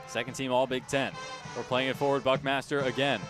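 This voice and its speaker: A man's voice: mid-range voice, level tone, fairly quick, music in the background. A male play-by-play announcer with a mid-range voice calls a soccer match live on radio. He follows the action in clipped, urgent phrases with emphatic delivery. Outdoor stadium sound sits faintly behind him.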